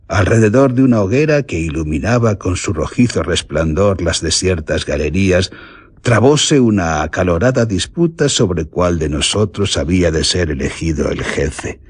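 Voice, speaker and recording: A man's voice: sits low, expressive, moderate pace, husky, no room sound, studio quality. An actor playing a grave, ominous bandit who tells his story theatrically in a radio horror drama, with a Castilian Spanish accent. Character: bandit recounting his gang's dark deeds, grave and ominous.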